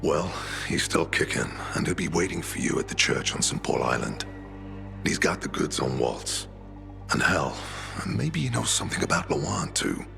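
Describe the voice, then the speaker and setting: Weary older veteran leader, extremely low, heavy fry, grave and deliberate, video game drama. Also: male voice, very animated, over music, exaggerated voice. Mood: wry, grave. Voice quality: gravelly, rough. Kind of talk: video game cutscene acting.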